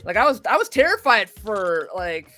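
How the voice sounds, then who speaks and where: A woman voice actress chatting casually as an interview guest, self-critical and animated, acting out her inner thoughts as she goes. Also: medium pitch, normal speed, faint music behind. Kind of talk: casual chat while playing a video game.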